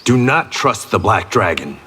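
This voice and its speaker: An actor voicing a stern, wary veteran warrior with a gangster past in a fighting video game, husky and grave, as if giving counsel. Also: male voice, fairly low pitch, big pitch swings, unhurried, sharp tone, long reverb tail, full character voice. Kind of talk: video game character acting.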